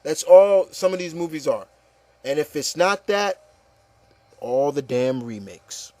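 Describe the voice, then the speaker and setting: A man speaks as host in a casual, emphatic rant. His voice sits slightly high, and he circles back on his phrases to drive them home. The sound is dry and close. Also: lively intonation, a little slow, a little mellow, no room sound. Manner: emphatic, repetitive, peppered with okay and you know. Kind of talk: casual opinion rant with co-hosts.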